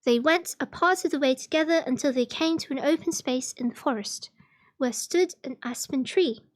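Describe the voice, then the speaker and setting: A woman reading fairy tales aloud on a livestream in an expressive storybook manner. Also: high-pitched, normal speed.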